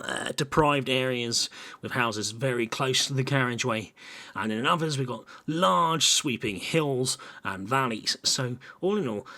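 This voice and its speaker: Chatty young English engineer, bright voice. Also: male voice, medium pitch. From Brighton and Hove, England. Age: young adult. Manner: casual, chatty with frequent "uh" fillers. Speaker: civil engineer presenting. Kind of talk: recorded technical presentation.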